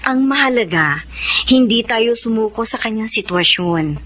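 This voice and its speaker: A woman's voice: a little high, expressive, normal speed, fairly bright tone. An actress with a Filipino accent playing an emotional wife and mother in a radio drama. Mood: earnest, reassuring.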